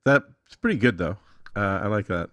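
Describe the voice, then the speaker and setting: A man's voice: lowish voice, sing-song, moderate pace, a bit bright, faint music behind. A man giving a casual, conversational tutorial as a guest, thinking aloud in an easygoing way. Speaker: guest demonstrating an AI music tool.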